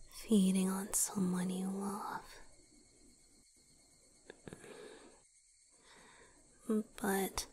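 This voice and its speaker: A woman voicing a weakened, devoted male vampire boyfriend in an ASMR roleplay, speaking in a soft breathy whisper with a hesitant, intimate manner. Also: lowish voice, a little hiss or hum. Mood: tender, confiding.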